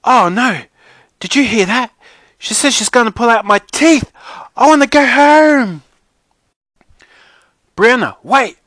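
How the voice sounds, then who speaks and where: A man playing a shrill, defiant little girl. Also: animated, takes it slowly, pauses often, bright, forceful tone, no echo, studio quality, heavily acted. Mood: frightened. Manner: childish grammar, bold exclamations. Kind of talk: children's audiobook character.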